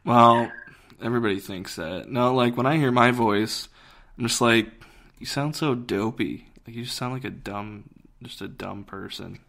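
A man's voice: medium pitch, normal speed, vocal fry, fairly bright tone. A man co-hosting a casual podcast, talking in a dry, self-deprecating way and mumbling while he searches something up. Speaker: podcast co-host. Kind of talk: casual chat.

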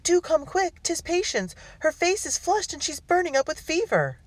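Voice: very high-pitched, very animated, moderate pace, no echo, pitched above the performer's own voice. A storyteller voicing an urgent young colonial girl with a pleading, exclamatory manner in an audiobook. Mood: alarmed.

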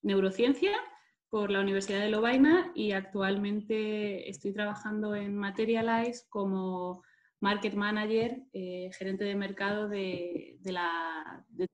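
Female interviewee, thirties, Spain Spanish accent, medium pitch, conversational delivery over a video call. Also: fairly even intonation, unhurried. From Madrid, Spain. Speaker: interviewee, market manager and scientist. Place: indoors, plain-walled room, video call. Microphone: earphone headset on video call.

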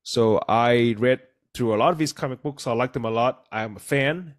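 A man's voice: medium pitch, normal speed. A man in his thirties, Vietnamese as his first language, hosting a casual podcast over a video call and explaining points earnestly with many "you know"s. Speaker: podcast host.

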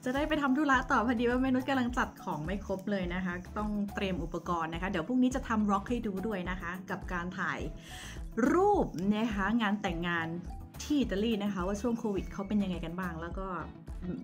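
A woman in her thirties from Thailand chatting to viewers in a casual haul vlog, recorded on a phone in a small bright room. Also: medium pitch, fairly quick, faint music behind. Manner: chatty and friendly, addresses viewers often. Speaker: vlogger.

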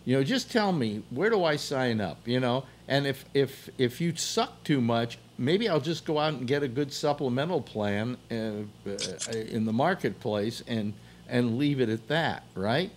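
An older man hosting a casual call-in chat, halting and teasing, often repeating himself. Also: mid-range voice, animated, slight background noise. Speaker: talk show host. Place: home room with bookshelves, indoors.

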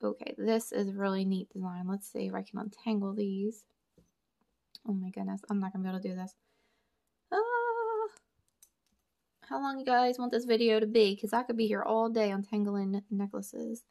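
Young woman vlogger, gravelly fry, chatty, thinking aloud to viewers, mid pitch, indoor tabletop camera. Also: normal speed, a little mellow. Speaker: storage unit reseller and vlogger. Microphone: camera over a table, hands only in frame.

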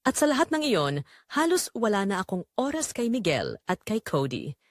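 A woman narrating a scripted first-person romance story in an expressive, sentimental storytelling manner. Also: mid-range voice, normal speed, no room sound, clean studio sound.

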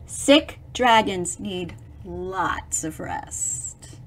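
A middle-aged woman reading a picture book aloud to young children, expressive and theatrical, pausing to explain things with dramatic emphasis. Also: on the low side.

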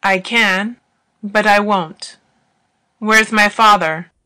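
An actress playing a tavern keeper's proud young daughter, scornful and unyielding, in a dramatised audiobook. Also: female voice, medium pitch, fairly even intonation, takes it slowly, bright, forceful tone, clean tone, poor audio. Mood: scornful, defiant.

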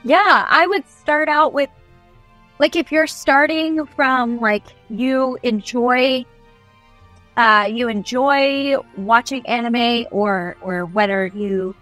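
A woman's voice: a little high, slow pace, a bit bright. Chatty young woman over music. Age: young adult. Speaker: guest voice actor. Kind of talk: casual video interview, giving advice. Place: small indoor room, on a video call.

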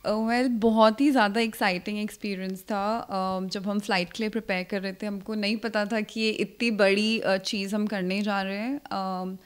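A woman's voice: medium pitch, steady tone, moderate pace, hardly any pauses, smooth. A young woman with an Indian accent answering interview questions as an airline pilot, switching between two languages. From Jammu, India. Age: young adult. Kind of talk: interview answers mixing Hindi and English. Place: indoor living room, seated interview. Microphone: handheld reporter mic held toward the speaker.

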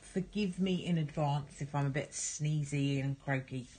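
A low, husky woman with a bit of a cold talks in a relaxed, chatty way as she reads tarot cards at home. She circles back over her words and fills pauses with soft ums. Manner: chatty, repeats words and fillers, gentle guiding tone. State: croaky with a cold. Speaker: tarot reader. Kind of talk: casual daily card reading. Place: home table indoors.

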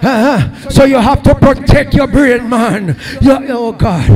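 A middle-aged Jamaican man preaching a fiery sermon in a church hall, shouting and calling out hallelujahs into a handheld mic. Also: extremely high pitch, a little slow. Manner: shouting, peppered with hallelujahs, gesturing at a pulpit. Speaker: pastor. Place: large church hall with red curtains.

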